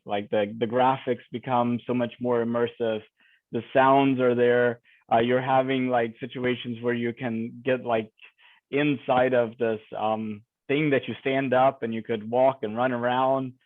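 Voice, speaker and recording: A man's voice: medium pitch, no echo. A man interviewing a guest, musing and rambling with many fillers. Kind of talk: casual conversational interview. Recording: tinny, dry phone-like line.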